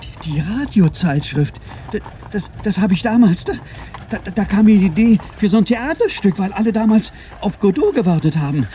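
A flustered radio-play writer who stumbles and repeats himself as he argues. His mellow voice sits a little high and swings animatedly up and down. The agitated delivery is heard on a noisy old cassette. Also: animated. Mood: agitated, explaining. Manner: agitated, halting, stammering, repeats words. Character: flustered, stammering would-be playwright turned clumsy interrogator. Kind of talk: German radio play acting.

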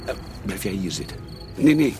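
An actor playing an alarmed father in a radio drama, with a Flemish accent and a dramatic delivery that moves between hushed and agitated. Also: deep voice, sing-song, normal speed, background noise. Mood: puzzled, tense. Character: alarmed adult man, a father, facing killer rabbits.

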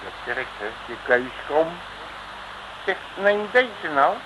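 A man's voice: on the high side, lively intonation, slow. An actor playing a brash, wily male detective with chatty, folksy patter in a 1960s Dutch radio comedy serial. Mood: needling. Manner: cheeky, folksy, wheedling patter. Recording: noisy old radio recording.

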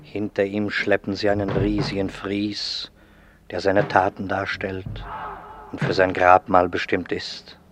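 A man's voice: deep voice, completely flat delivery, measured pace, background noise. A man narrating a radio drama about a Roman state funeral in a solemn, scripted style. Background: music.

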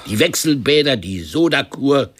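Husky, cantankerous old uncle. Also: male voice, medium pitch, expressive, moderate pace, fairly bright tone. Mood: scornful, exasperated. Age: older. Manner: grumbling, sarcastic questioning. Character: cantankerous ailing uncle of eighty, scornful and impatient. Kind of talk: radio drama acting.

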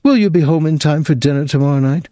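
A man's voice: fairly high pitch, fast, warm tone, no room sound, studio quality, voice pushed up, close to an everyday voice. A man reading aloud voices an elderly mother who complains shrilly and melodramatically over the phone. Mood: complaining. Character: fretful complaining elderly mother, older woman.

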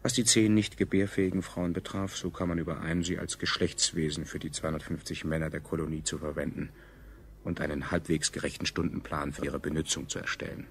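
A man acting as the coolly sardonic chronicler of a doomed science colony, narrating a radio play in a clinical, ironic deadpan. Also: rumbling low, a little fast. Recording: 1970s radio production, clear background noise.

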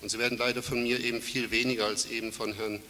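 A man's voice: mid-range voice, little pitch movement, pushed and bright. Man with a cold, formal academic speaker in a reverberant lecture hall. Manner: formal, measured, with frequent fillers and self-corrections. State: has a cold. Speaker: conference speaker. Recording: hissy reverberant hall recording.

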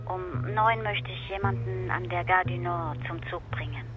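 A woman's voice: lowish voice, normal speed. An actress playing a breezy, worldly woman with dry humour, heard through a telephone filter in a radio drama. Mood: breezy, amused. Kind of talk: German radio drama acting. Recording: dull telephone line, faint music.

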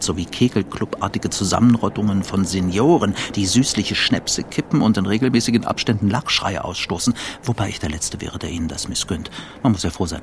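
A man's voice: low-pitched, noisy background. An actor playing a self-absorbed, sardonic media journalist who narrates his thoughts in a wry, wordy way in a radio play. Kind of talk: radio play interior monologue.

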